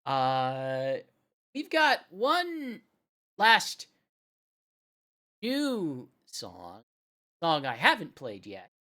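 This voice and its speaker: A woman hosting a live music stream, talking casually and haltingly as the show's presenter. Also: low voice, wildly swooping pitch, a bit bright. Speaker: radio show host.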